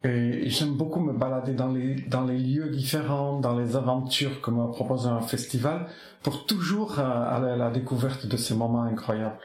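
A man's voice: medium pitch, roomy sound. A man from the Basque Country whose first language is Basque, being interviewed and reminiscing in a reflective, poetic way, often stopping to restart his phrases. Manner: soft-spoken, poetic, halting with many restarts. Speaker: artist interviewed.

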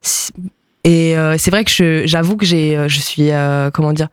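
A woman from France, a musician guest, speaking in a casual interview, thoughtful and hesitant with many pauses and fillers. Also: sits low, fairly even intonation, clear, resonant tone, no echo, clean studio sound.

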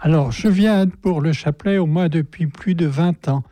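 A man's voice: a little high, moderate pace, mellow, no room sound. An older man from a prayer group answering questions in a hesitant, modest way.

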